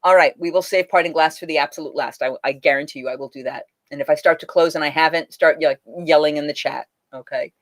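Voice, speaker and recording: A young woman hosting a casual livestream from her home, talking through a laptop microphone. She chats loosely with her viewers and reads their messages aloud between folk songs. She laughs often and keeps a relaxed, friendly flow. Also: low voice, quick pace, few pauses.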